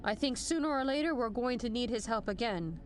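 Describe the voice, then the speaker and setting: A woman plays a female general in a sci-fi audio drama, stern and measured. Her voice sits fairly high and moves expressively as she gives formal orders with dry wit, over faint background noise. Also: expressive, natural voice. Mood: grave, deliberate. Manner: formal, authoritative briefing delivery. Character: stern, commanding female military general, measured and dryly wry. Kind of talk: scripted audio drama acting.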